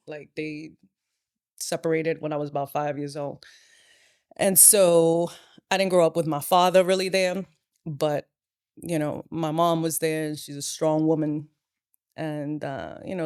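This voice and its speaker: A woman's voice: low voice, a little mellow, no room sound, studio quality. A woman, an interview guest sharing her life story in a reflective, hesitant way. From Brooklyn, New York. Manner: reflective, hesitant, with many fillers. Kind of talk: personal testimony interview.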